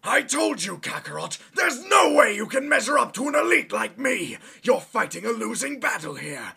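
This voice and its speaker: A man voicing an arrogant, hot-tempered alien warrior villain in an anime parody, haughty, sneering and sarcastic, with a strained gravelly voice. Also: very high-pitched, very animated, moderate pace, bright, forceful tone, slight background noise, exaggerated voice. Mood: smug. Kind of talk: exaggerated anime parody dub acting.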